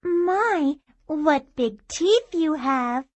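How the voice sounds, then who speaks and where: A woman's voice: high voice, animated, drawn-out words, a little mellow, dry recording, full character voice. A woman playing a sweet, innocent little girl in a children's fairy tale, polite and wide-eyed with a sing-song delivery. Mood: wondering.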